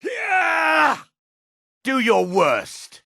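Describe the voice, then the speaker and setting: An actor playing a gruff, proud male dark elf in a fantasy video game, with a rasping voice and theatrical delivery. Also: very high voice, huge pitch swings, drawn-out words, dry recording, clean studio sound, heavily acted. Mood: battle fury. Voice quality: gravelly, rasping.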